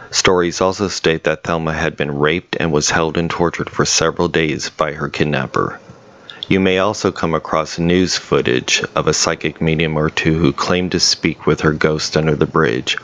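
A man giving a measured, serious scripted voice-over narration about a local ghost story. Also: extremely low pitch, fairly bright tone, no room sound. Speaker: paranormal investigator narrator.

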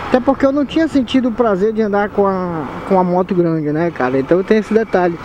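A young man with an Amazonas Brazilian Portuguese accent talks casually and repeats himself as he rides a motorcycle through the city. A helmet camera mic picks him up outdoors. Loud road and wind noise runs under everything he says. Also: high voice, quick pace. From Manaus, Brazil. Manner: rambling, slangy, repeats himself. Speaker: motovlogger. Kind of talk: casual chat while riding.

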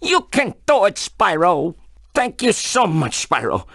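Fretful, animated cartoon pig. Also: male voice, high voice, unhurried, dry recording, clean studio sound, heavily acted. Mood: urgent. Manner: anxious, dramatic. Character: nervous, fretful talking pig, a captive on vacation. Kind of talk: cartoon video game acting.

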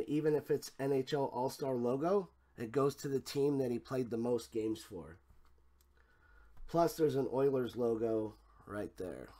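Hoarse man slowly calling out cards. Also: mid-range voice, warm tone. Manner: slow, clipped call-outs of cards and prices. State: losing his voice, clearing throat. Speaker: card break host. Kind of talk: live card break commentary. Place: room with a desk and a banner behind.

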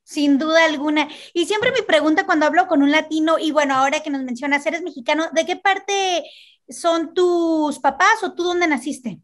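A young adult woman interviewing a guest over a video call from home, warm and enthusiastic. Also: high-pitched, normal speed. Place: home room.

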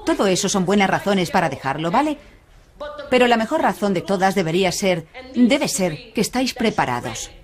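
An actress with a Castilian Spanish accent dubbing a blunt, insistent addiction counsellor in a documentary. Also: female voice, medium pitch, sing-song, brisk, natural voice. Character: blunt, no-nonsense woman counselling recovering addicts. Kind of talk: dubbed documentary conversation. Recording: busy room chatter behind.

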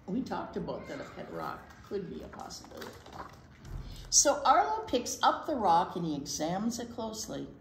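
A woman's voice: mid-range voice, normal speed. An older woman reading a picture book aloud to children expressively, with chatty asides. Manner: expressive, animated. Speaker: children's library storytime reader. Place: indoor library storytime room. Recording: echoey room with background noise.

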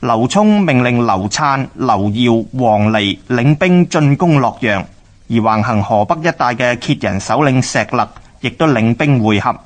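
A man's voice: medium pitch, unhurried. A man with a Hong Kong accent narrating history from a script in a formal, expository manner.

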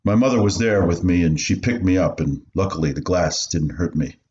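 An older man acting in a radio play as a courteous refugee recalling his childhood in a measured way. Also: low-pitched, slight background noise, natural voice. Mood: measured, reflective. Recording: roomy, dull audio under loud music.

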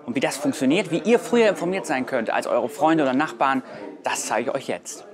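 A man's voice: medium pitch, lively intonation, fairly quick, crowd noise. A young adult man presenting a how-to explainer. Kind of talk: explainer to camera and voice-over.

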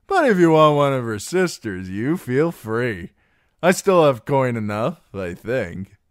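Man playing a loud, cocky drunken young singer. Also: medium pitch, sing-song, clean tone, no room sound, studio quality, voice pushed up. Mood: teasing. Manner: loud, swaggering, slow drawn-out delivery. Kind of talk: audiobook character voice.

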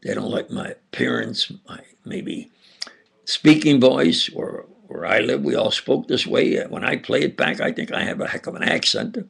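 An older man with an Upstate New York and New Jersey accent, giving a halting, insistent monologue lecture with sudden loud emphasis. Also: medium pitch, huge pitch swings, creaky, slightly rough. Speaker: self-styled preacher lecturing on camera.

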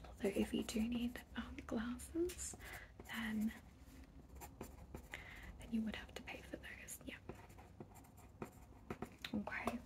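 A young woman doing an ASMR roleplay as an eye examiner, speaking gently and reassuringly, close to the mic in a small room. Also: a little high, slow pace, long pauses. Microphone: close mic, leaning in near the camera.

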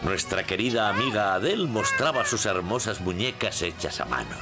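An actor playing a kindly old gnome who tells a cartoon story expressively to young viewers. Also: male voice, fairly low pitch, big pitch swings, normal speed. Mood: cheerful storytelling. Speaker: narrator and main character. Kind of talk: animated cartoon storytelling, Spanish dub.

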